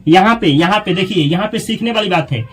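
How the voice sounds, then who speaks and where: A man teaching a spoken English lesson, explaining in Hindi and reading English sample sentences slowly and deliberately. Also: high-pitched, fairly bright tone, slight background noise.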